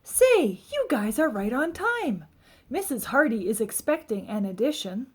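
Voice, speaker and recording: A woman's voice: a little high, big pitch swings, a little slow, a little mellow, breathy edge, heavily acted. A woman reading the part of a cheerful, eager, literal-minded babysitter in a children's storybook, with emphatic, exclaiming delivery. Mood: curious, excited.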